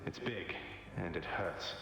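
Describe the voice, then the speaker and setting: A man's voice: sits low, takes it slowly, creaky, exaggerated voice. A man playing a cocky young underworld prince in a video game, with a dry, sardonic, casually eloquent delivery. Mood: wry. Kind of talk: video game drama acting. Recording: echoey hall with long reverb.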